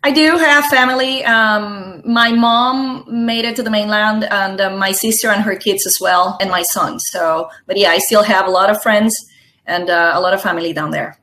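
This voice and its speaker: A woman's voice: mid-range voice, moderate pace, pushed and bright. A woman from Rico, co-hosting an interview in a polite, slightly hesitant way. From Puerto Rico. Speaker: co-host. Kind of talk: casual interview chat.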